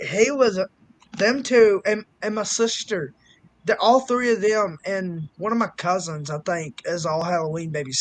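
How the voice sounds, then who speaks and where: A man in his twenties chatting casually on a live stream, excitable and swearing freely. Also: high-pitched, no echo, rough recording. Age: 20s. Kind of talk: casual chat.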